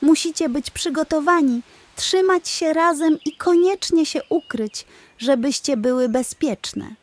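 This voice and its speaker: A proud, wise male elephant from a bedtime storybook, put on by a woman. The voice is pitched up, earnest and emphatic. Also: measured pace. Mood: urgent warning.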